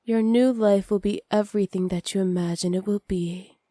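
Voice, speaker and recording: A woman playing a seductive, teasing witch in an intimate ASMR roleplay, sly and possessive, often slipping into breathy whispers close to the microphone. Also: mid-range voice, steady tone, warm tone, dry recording, lightly acted. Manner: slow, breathy, often whispered, flirtatious purr. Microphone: close studio microphone.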